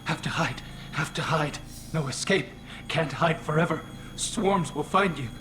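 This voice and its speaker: An actor playing a traumatized survivor who mutters in halting, repetitive broken phrases. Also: male voice, a little high, lightly acted. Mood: frightened. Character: terrified man babbling in fragments, traumatized survivor. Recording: game audio with clear background noise.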